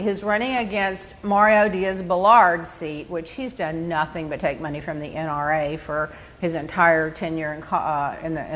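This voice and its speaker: Animated woman hosting a gossipy podcast. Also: on the low side. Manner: animated, gossipy, laughs often, acts out voices. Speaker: podcast host. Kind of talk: casual chat, answering listener questions. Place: home studio office, indoors. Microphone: desk mic on stand.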